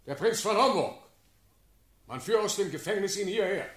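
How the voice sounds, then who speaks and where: An actor playing an imperious, quick-tempered German ruler in a classical verse radio play, with declamatory, theatrical speech. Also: male voice, sits high, animated, a little hiss or hum. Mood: commanding. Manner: declamatory, theatrical, sharp swings in force. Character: imperious, quick-tempered German prince-elector, commanding and sardonic.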